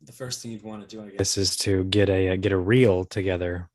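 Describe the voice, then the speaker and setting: A man in a casual interview as a voice actor guest, talking in a halting, wry, joking way. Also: low voice, fairly even intonation, darkish tone.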